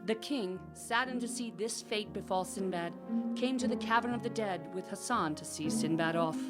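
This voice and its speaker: An actress playing a measured, serious storybook narrator in a scripted radio drama. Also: female voice, mid-range voice, loud music behind the voice, close to an everyday voice. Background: musical score.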